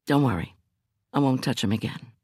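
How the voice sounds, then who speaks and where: A woman's voice: rumbling low, moderate pace, mellow, slightly rough, dry recording, clean studio sound. A woman voicing a shaken but composed, assertive female prosecutor in a thriller audiobook, speaking politely and with control. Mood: reassuring, shaken. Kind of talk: audiobook thriller character dialogue.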